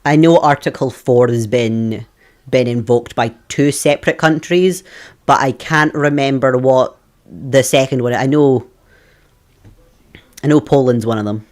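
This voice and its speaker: A man's voice: medium pitch, unhurried, darkish tone. A young Scottish man streaming a puzzle game, chatting with viewers, thinking aloud and laughing often. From Scotland. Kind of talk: live game stream chat.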